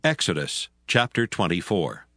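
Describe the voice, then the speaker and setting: A man narrating scripture from a script in a solemn, measured manner. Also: sits low, sing-song, fairly bright tone, no echo, studio quality. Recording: music behind the voice. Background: background music.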